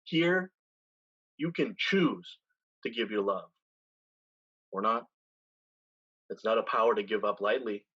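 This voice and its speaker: A man acting a seasoned, weary pirate captain in a staged play reading, speaking in a measured, deliberate way with dramatic pauses. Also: on the low side, sharp tone, slightly rough, dull audio, full character voice. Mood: tender, earnest. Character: seasoned male pirate captain, weary, solemn, tender, once brash.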